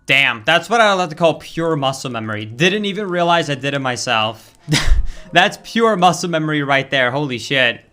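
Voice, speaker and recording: A young Swedish man talks through his stream in a slightly high, bright voice. His delivery is casual and chatty, with loud flare-ups and swearing when the game lags. The Swedish accent is clear throughout. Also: expressive, moderate pace, faint music behind. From Sweden. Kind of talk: live-stream gameplay commentary.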